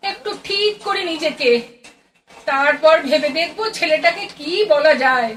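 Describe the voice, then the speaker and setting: Radio play mother: actress as older woman, very high-pitched, plain-spoken, coaxing and fond, heard off-mic. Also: expressive, moderate pace, fairly bright tone. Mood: tender, coaxing. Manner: motherly scolding and coaxing in short colloquial bursts. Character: affectionate, teasing, plain-spoken older mother of a grown son. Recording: distant mic, very noisy audio.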